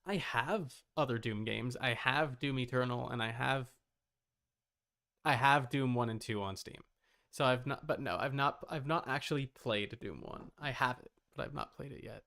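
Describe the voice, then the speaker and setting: A man's voice: mid-range voice, normal speed, creaky, sharp tone. A man chatting casually as a streamer, reacting to a video. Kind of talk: casual livestream chat.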